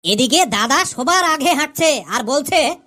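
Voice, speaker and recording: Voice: high voice, animated, measured pace, pushed and bright, exaggerated voice. A woman voicing a cheeky, argumentative schoolboy pupil in a comedy cartoon, long-winded with smart-aleck answers. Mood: earnest storytelling.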